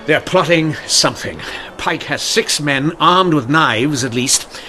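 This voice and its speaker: An actor playing a measured, dryly witty Victorian police inspector in a radio mystery drama. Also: male voice, fairly high pitch, pushed and bright, soft background music. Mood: grave, urgent.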